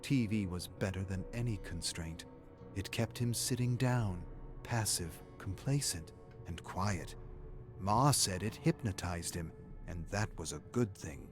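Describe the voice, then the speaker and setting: Low-voiced man narrating dark horror. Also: expressive, unhurried, music in the background. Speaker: story narrator. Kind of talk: dramatic scripted narration of a dark horror tale.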